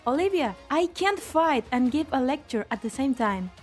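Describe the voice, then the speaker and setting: A woman acts out a spirited young scientist-caster in a game drama. Her voice is high and expressive with a wry edge, over faint background music. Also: moderate pace. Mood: exasperated, troubled. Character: spirited, loyal young female researcher and spellcaster. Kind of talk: amateur fan dub game drama acting.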